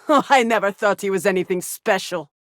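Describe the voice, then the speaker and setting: A woman's voice: mid-range voice, normal speed, dry recording, studio quality, natural voice. A woman playing a greedy, scornful villainess in a sci-fi video game, with haughty, theatrical delivery. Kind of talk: video game character voice lines.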